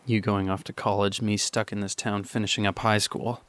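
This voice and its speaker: A young man acting a lovesick, anxious teenage high school boy in an audio drama monologue, speaking haltingly and confessionally. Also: level tone, fairly bright tone, no room sound, natural voice. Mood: wistful, tender.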